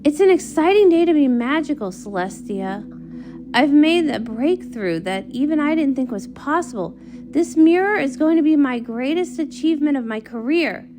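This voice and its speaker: Lady doing old wizard unicorn guy, grand n ambitious, lively pitch, comic readalong. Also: normal speed. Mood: grandly excited. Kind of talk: comic read-along character acting.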